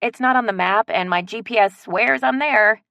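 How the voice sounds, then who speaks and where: A woman's voice: a little high, normal speed, creaky, sharp tone, no echo, pitched above the performer's own voice. A woman voicing a polite, subdued city woman.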